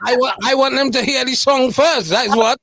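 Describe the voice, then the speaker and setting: A man's voice: very high voice, steady tone, brisk, bright, forceful tone. A man hosting a radio interview show, casual and playfully teasing with his guest.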